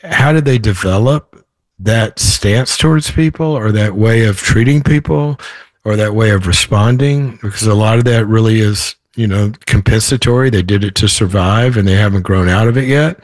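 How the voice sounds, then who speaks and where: Male guest, hoarse today, low voice, rambling and reflective, dry recording. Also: normal speed, no echo. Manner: thoughtful, rambling with restarts. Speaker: author guest. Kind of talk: podcast interview.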